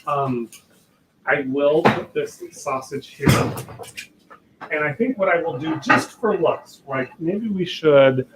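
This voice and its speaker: A man's voice: fairly high pitch, takes it slowly, heavy background noise. A man hosting a casual live cooking demo, rambling with lots of fillers and restarts, in a home kitchen. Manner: says he has a loud voice. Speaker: cooking show host.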